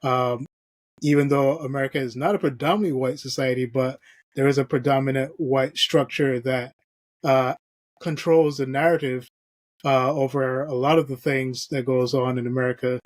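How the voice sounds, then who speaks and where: A man's voice: medium pitch, steady tone, moderate pace, dry recording. Earnest man hosting a podcast. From Barbados. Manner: earnest, reads aloud from an article with frequent fillers. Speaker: podcast host. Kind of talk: podcast discussion.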